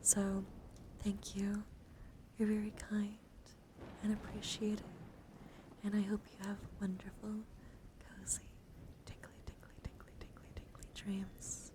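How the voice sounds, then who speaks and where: A woman chatting softly to livestream viewers in ASMR style, breathy and often whispering, with a gentle, soothing, intimate manner close to the mic. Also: mid-range voice, monotone, measured pace, long pauses. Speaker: live streamer hosting ASMR. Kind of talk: ASMR, casual chat with viewers.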